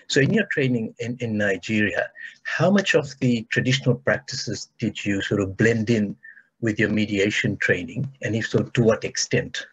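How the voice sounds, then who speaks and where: A man's voice: fairly low pitch, moderate pace, husky, lo-fi sound. A man from Fiji, polite and a little apologetic, asking a question on a video call. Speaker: audience questioner.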